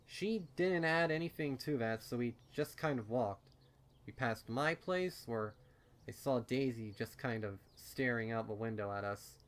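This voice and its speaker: A man giving an expressive first-person reading of a fan fiction story as narrator. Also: mid-range voice, a little slow. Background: music under the voice.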